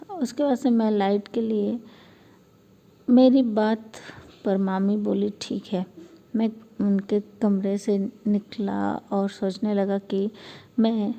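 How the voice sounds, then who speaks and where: Halting woman storyteller over background noise. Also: medium pitch, dark tone. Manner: halting, with stumbles and self-corrections. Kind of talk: first-person story narration, reading aloud. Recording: clearly noisy ambient background.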